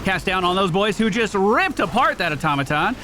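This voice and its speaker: A man giving casual live gameplay commentary as a streamer, slangy and excitable, with some swearing. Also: high voice, a little fast, noisy background. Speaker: gaming streamer. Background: faint game music.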